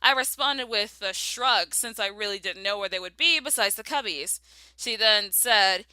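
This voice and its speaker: A woman's voice: medium pitch, normal speed, sharp tone, clear, resonant tone, no room sound. A young American woman narrating a scripted personal story with emphatic, dramatic delivery. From southern United States.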